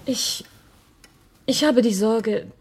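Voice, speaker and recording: An actress playing a tender, anxious young bride-to-be in a radio drama, hesitant and earnest. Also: female voice, on the high side, drawn-out words, a little hiss or hum. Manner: hesitant, earnest, soft-spoken.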